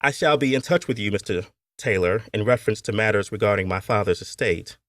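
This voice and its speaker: A man voicing a shy, well-mannered young Southern gentleman, polite and reserved. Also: on the low side, brisk, dry recording, lightly acted.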